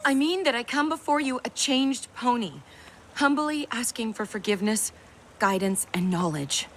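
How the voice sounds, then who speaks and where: A woman playing a reformed, sardonic pony pupil in animated-series style, apologetic with a snide streak. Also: lowish voice, creaky, heavily acted. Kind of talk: animated-series character acting.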